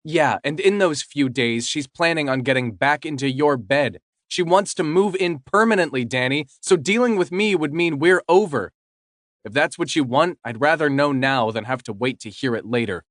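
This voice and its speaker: A man's voice: a little high, expressive, moderate pace, a bit bright, dry recording, clean studio sound, voice pushed up. Give me a man doing a young woman, teasing, then pressing hard with questions. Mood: upset, questioning. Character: loving young girlfriend, affectionate then upset.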